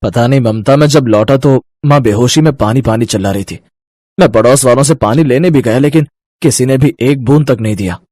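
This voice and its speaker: A poor, worried husband played by a man in a moral tale. His mid-pitched voice is earnest, and the recording is dry and close. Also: a little fast, darkish tone, no echo, lightly acted.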